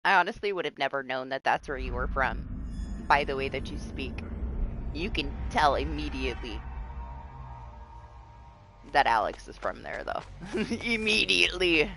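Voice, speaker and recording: A woman's voice: deep voice, expressive, normal speed, long pauses, bright, forceful tone, faint music behind. Chatty woman with mixed Southern twang. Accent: mixed Southern and New York American. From United States. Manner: chatty, laughs often, swears casually. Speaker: livestreamer. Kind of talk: casual gaming stream chat.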